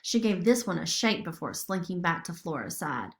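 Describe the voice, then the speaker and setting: A woman's voice: on the low side, very animated, normal speed. A woman narrating a children's story expressively, as a storybook reader.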